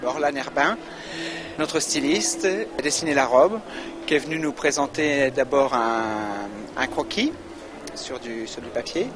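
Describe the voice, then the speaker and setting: A man, a chocolatier, describing his work in an interview, halting and restarting as he talks in an exhibition hall. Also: mid-range voice, background noise. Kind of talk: interview answers. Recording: crowd chatter behind.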